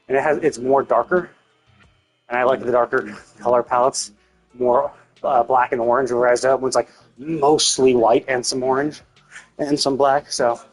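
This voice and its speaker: A man livestreaming a game with casual, rambling commentary, thinking out loud, cutting himself off and swearing freely. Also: medium pitch. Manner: casually profane. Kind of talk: casual gameplay stream commentary.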